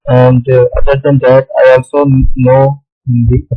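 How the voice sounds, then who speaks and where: A man whose first language is Urdu, walking through a screen tutorial in a halting way, often pausing on "okay, so". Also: medium pitch, monotone, dull audio, faint music behind. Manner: halting, with many "okay, so" fillers. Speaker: tutorial host. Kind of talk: screen-recorded tutorial walkthrough.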